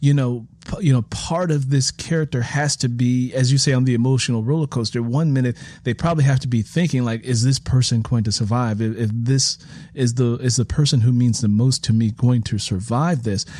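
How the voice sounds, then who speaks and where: A man's voice: medium pitch, steady tone, brisk, a little mellow, no echo, clean studio sound. A man hosting an interview in a conversational, reflective way, explaining his thoughts at length. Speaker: host interviewing an author.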